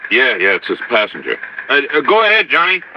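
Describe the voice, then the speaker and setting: A man's voice: mid-range voice, huge pitch swings, a little fast. A man playing a businesslike airfield tower operator in a 1950s radio drama, on a muffled, noisy old recording. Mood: puzzled, alert.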